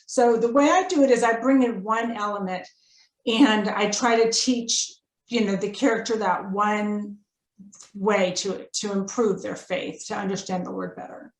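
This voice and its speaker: A woman's voice: medium pitch, unhurried. A woman, an author guesting on a podcast, giving conversational interview answers. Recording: roomy, echoing sound.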